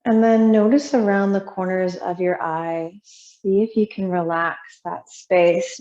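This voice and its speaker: Very slow, soothing woman guiding meditation. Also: fairly low pitch. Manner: soothing, unhurried, gently instructing with long pauses. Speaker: yoga teacher leading a guided relaxation. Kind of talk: guided meditation. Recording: roomy echo, slightly muffled sound.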